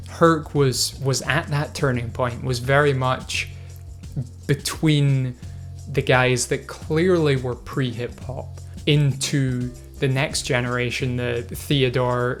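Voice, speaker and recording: A man hosting his own video, talking through viewer comments in a thoughtful, hesitant way with many fillers and restarts. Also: medium pitch. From Scotland. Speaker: video host. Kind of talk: casual reflective commentary.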